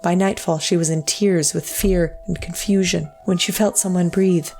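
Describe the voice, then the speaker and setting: An actress as a solemn female storyteller with a lowish, crackly voice, over faint music. She narrates a dramatic audio story in a measured, literary and somber manner. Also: fairly even intonation, a little mellow, lightly acted. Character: solemn female storyteller of a sad ghost tale.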